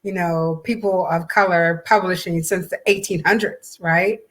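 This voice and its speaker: A woman's voice: on the low side, fairly even intonation, moderate pace, a bit bright. An American woman co-hosting a casual promotional chat, speaking conversationally and repeating phrases for emphasis. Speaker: co-host and event organizer.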